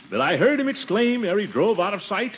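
An actor playing a pompous, doting middle-aged uncle with a blustery, chuckling manner in 1940s radio comedy style. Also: male voice, high voice, full character voice. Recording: noisy, muffled 1940s radio recording.

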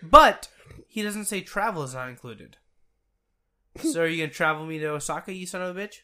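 Male co-host, bright and slightly high voice, sick, wry cussing banter. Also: lively intonation, a little fast. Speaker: podcast co-host. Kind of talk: casual chat.

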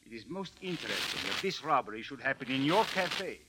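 A man's voice: mid-range voice, sing-song, fairly quick, lightly acted. Man as a formal police captain, noisy recording. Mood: stern, insistent. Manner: formal, precise, insistent. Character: stern, formal Cairo police captain, politely menacing. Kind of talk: 1940s radio drama acting. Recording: noisy vintage radio recording.

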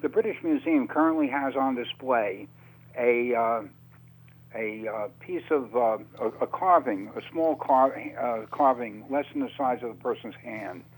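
A man speaking as an interview guest on radio, unscripted, halting and often repeating words as he searches for phrasing. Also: mid-range voice, rough recording. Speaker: interview guest, author on UFO topics.

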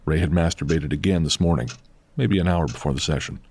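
A man's voice: rumbling low, brisk, a little mellow, a little hiss or hum. A man narrating a scripted horror audio drama as storyteller.

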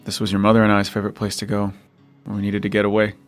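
A man playing a worn-down father in a post-apocalyptic radio drama, speaking quietly and gently to his daughter. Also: fairly low pitch, completely flat delivery, very fast, faint music behind, close to an everyday voice. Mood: wistful. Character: weary father, survivor in a wasteland.

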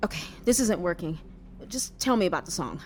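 A quirky young woman on a night bus in an audio drama. She chatters with lively, swooping intonation, earnest and matter-of-fact however strange it gets. A faint rumble sits behind her. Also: fairly quick. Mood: exasperated. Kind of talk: audio drama acting, conversational. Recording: faint background noise with rumble.

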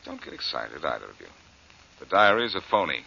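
A cocky amateur detective from an old radio mystery. His voice is forceful and very animated, needling everyone with glib confidence. The recording is dull and aged. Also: very deep voice, brisk, gravelly, slightly rough, full character voice. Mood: confident. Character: wisecracking confident amateur detective, adult man. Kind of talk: 1940s radio acting.

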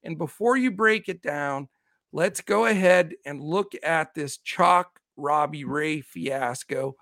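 A man's voice: on the high side, slow, pushed and bright, no room sound, studio quality. A man hosting a casual sports podcast, rattling off numbers in a chatty way while losing his voice. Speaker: podcast host.

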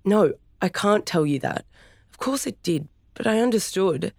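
A woman voicing Kaya, a young woman trying to calm a family fight, pleading and upset. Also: mid-range voice, moderate pace, gravelly, dry recording, studio quality, voice pushed up.

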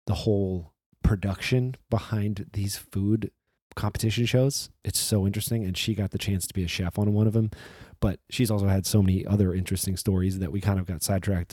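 A man with a low, mellow voice hosts a podcast in a loose, rambling way, restarting sentences and swearing freely. His voice is slightly hoarse from a recent flu, and the recording is dry and close. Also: fairly even intonation, normal speed, dry recording. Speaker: podcast host. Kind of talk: casual solo intro monologue.

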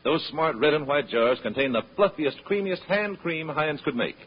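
A man's voice: fairly high pitch, moderate pace, breathy edge. An American man announcing scripted advertising in the style of vintage radio. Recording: dull vintage radio recording.

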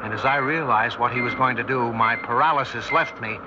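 An actor playing a haunted male scientist, narrating in tense, deliberate 1960s radio drama style with dramatic pauses. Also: medium pitch, heavy background noise, natural voice. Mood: horrified. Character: haunted younger male scientist recounting a terrifying memory. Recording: dull 1960s radio recording, loud dramatic music.

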